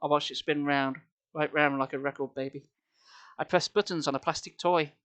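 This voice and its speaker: A man's voice: a little high, steady tone, moderate pace, a bit bright. A man reading a story aloud on a live stream, theatrical and playful, with casual asides.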